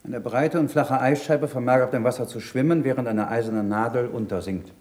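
An actor playing a mild, thoughtful, questioning male scholar in a German radio play, measured and bookish. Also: mid-range voice, darkish tone, lightly acted. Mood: studious.

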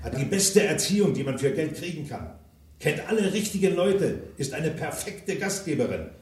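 A man's voice: on the high side, expressive, normal speed, a little mellow, far from the mic, close to an everyday voice. An actor in a German crime radio drama playing a cynical, ambitious self-made businessman, blunt and coarse, with theatrical emphasis. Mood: cynical, boastful. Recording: echoey room, off the microphone.

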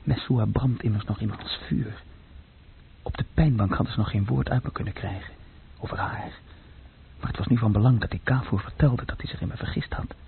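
A man's voice: on the low side, fairly quick, creaky. An actor playing a bitter, brooding man musing intensely in a radio play. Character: brooding, bitter man absorbed in inner thoughts. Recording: muffled, faintly noisy old radio recording.

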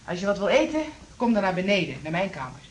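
A woman's voice: medium pitch, very animated, fast talker, heavy background noise, lightly acted. An actress in a radio drama playing a kindly, fussing, chatty landlady. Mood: motherly, chatty.

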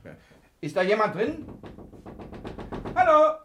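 A man's voice: high voice, big pitch swings, takes it slowly, full character voice. An actor playing a cheeky, fearless male stowaway in a German radio crime drama. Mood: startled, puzzled. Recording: noisy 1960 radio play recording with clicks.

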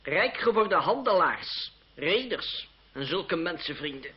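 An actor playing a cynical, witty young French lawyer in a radio drama, with an ironic, condescending, urbane manner. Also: male voice, fairly high pitch, very animated, unhurried, breathy edge, exaggerated voice. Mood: scornful, insistent. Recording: muffled, hissy old radio drama recording.

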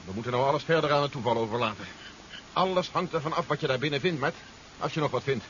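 Man acting an urgent mid-pitched submarine leader. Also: fast, fairly bright tone, close to an everyday voice. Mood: tense, worried. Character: anxious, commanding male leader aboard a submarine. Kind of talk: 1970s Dutch radio drama acting. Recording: dull old radio recording, faint noise.

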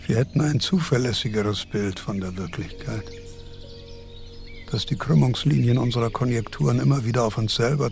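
An actor playing a precise, dry old philosopher in a radio play, pedantic and formal in manner. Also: male voice, mid-range voice, vocal fry, darkish tone.

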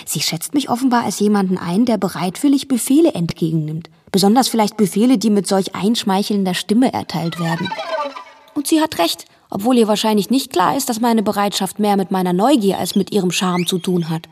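A woman's voice: medium pitch, brisk, a little mellow, a little hiss or hum, close to an everyday voice. An actress playing a curious, observant teenage girl who narrates her own story matter-of-factly in a radio play. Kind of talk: scripted radio drama narration.

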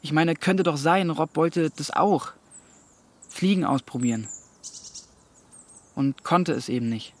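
A man's voice: fairly high pitch, takes it slowly, long pauses. A young male actor playing a curious, stubborn teenage boy in naturalistic radio drama, sounding youthful and thoughtful. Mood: dreamy, reflective.

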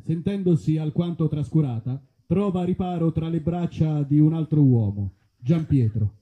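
A man's voice: a little high, normal speed, narrow, tinny audio. A man narrating a live comedy reading in a theatrical, mock-epic, rambling manner. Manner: theatrical, absurd rambling lists.

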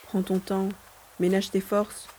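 A woman playing a cautious, worried parent who guides a partner remotely in an audio drama, protective and gently wry. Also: medium pitch, completely flat delivery, takes it slowly, slight background noise, lightly acted, rough recording. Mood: caring, cautious.

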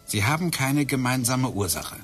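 A man's voice: medium pitch, lively intonation, moderate pace, a bit bright, faint music behind, lightly acted. An actor playing a brooding man obsessed with physics, musing and explaining as he talks, in a radio play. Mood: thoughtful. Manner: musing, explaining, drifts into whispers. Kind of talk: radio drama acting.

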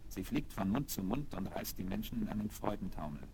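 An actor serving as the solemn narrator of a German children's radio play, reading in a dramatic scripted style. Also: male voice, on the low side, big pitch swings, brisk. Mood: grave, dramatic. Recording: very noisy vinyl recording.

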